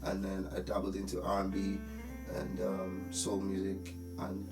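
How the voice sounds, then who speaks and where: Deep gravelly young man, roomy. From Nigeria. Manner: relaxed, halting, many pauses and fillers. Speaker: musician interviewee. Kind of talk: casual interview talk. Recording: roomy sound with music behind.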